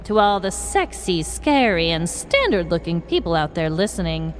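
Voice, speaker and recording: A woman's voice: fairly low pitch, big pitch swings, moderate pace, a little hiss or hum. A woman playing a sly, theatrical old witch shopkeeper in a scripted Halloween story, coaxing as she talks. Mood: gleeful, scheming.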